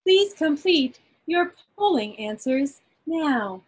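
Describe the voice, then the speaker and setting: A woman's voice: sits high, very animated, slow pace. A woman casually moderating a group poll on a video call as the meeting's host. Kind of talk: casual live event moderation.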